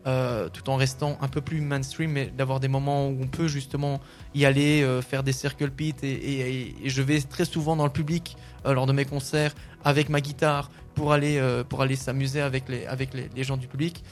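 French-speaking musician guy answering an interview, lots of ums, kinda monotone. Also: mid-range voice, a little fast. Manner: hesitant, many fillers and restarts. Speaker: singer-songwriter guest.

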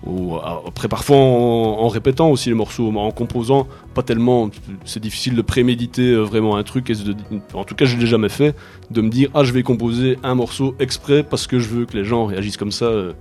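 A man's voice: mid-range voice, brisk, warm tone, soft background music. A French-speaking man, a band's songwriter, talking casually in an interview with many fillers and restarts. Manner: hesitant, many fillers and restarts, laughs easily. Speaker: musician, band songwriter.

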